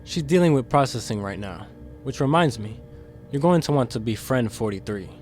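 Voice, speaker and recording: A man's voice: medium pitch, lively intonation, moderate pace, darkish tone, faint music behind, lightly acted. A man playing a guarded, conscience-stricken demon in an audio drama, earnest and defensive in manner. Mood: discreet, earnest. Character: guarded, conscience-stricken demon insider smuggling secrets to captive.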